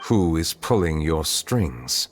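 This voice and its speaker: Solemn ancient judge, low voice, composed, formal, restrained, game dialogue, faint music. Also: male voice, very animated, takes it slowly, exaggerated voice. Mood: calm, probing. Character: calm, grave, centuries-old male chief judge. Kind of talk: dramatic video game voice acting.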